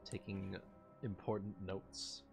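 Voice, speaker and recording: A man narrating a tabletop game as game master, improvising and often pausing mid-phrase. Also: low voice, little pitch movement, vocal fry, over music.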